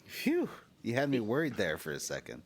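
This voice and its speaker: A co-host on a gaming podcast chatting with deadpan jokes. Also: medium pitch, moderate pace. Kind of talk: casual chat.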